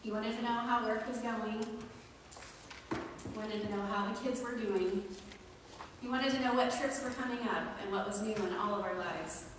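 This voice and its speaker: A woman delivers a prepared family eulogy in measured phrases at a middling pitch. Her voice rings through a very reverberant hall, picked up from a distance. Clear noise sits behind it. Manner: measured reading in short listed phrases. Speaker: family member giving a eulogy. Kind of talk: prepared memorial tribute. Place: large indoor memorial gathering space. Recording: echoey hall, distant mic, noisy.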